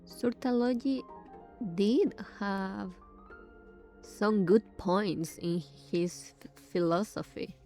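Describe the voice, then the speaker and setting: Female streamer, casual reactions: very slow, halting, soft-edged mellow tone. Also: mid-range voice, faint music behind. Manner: halting, musing. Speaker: gaming streamer reacting to game story. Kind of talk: casual live reaction commentary.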